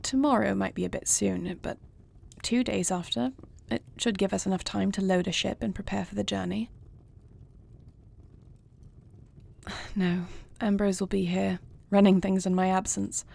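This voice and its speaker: A woman playing a gracious, resolute dragon queen in an intimate audio roleplay, speaking to her spouse with measured, formal phrasing and soft affection. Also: mid-range voice, steady tone, creaky. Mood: composed, resolute. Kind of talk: intimate audio roleplay acting, addressing the listener.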